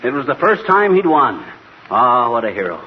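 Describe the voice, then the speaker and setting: An actor playing a grumbling, jealous know-it-all husband, folksy and wisecracking, in 1940s radio comedy style. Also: male voice, medium pitch, very animated, moderate pace, natural voice. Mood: chatty, excitable. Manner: folksy, wisecracking, blustery bluffing. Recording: muffled, hissy 1940s radio recording.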